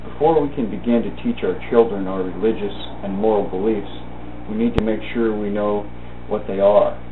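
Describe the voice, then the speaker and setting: A man reading a personal essay aloud as a thought for the day, in a measured, halting way, phrase by phrase. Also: lowish voice, fairly even intonation. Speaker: reader of a thought-for-the-day video. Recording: tinny band-limited audio, roomy echo, faint hum.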